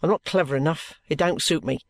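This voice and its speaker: A man reading a blunt, steady old trooper. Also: fairly high pitch, quick pace, vocal fry, no room sound. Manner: blunt, steady, plain-spoken. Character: blunt, composed ex-soldier, a plain-spoken trooper.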